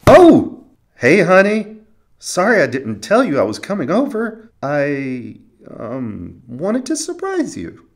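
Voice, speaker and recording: A man's voice: on the high side, huge pitch swings, slow pace, heavily acted. A man playing an insecure, resentful divorced father in a cartoon audio drama, whiny and melodramatic. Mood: flustered.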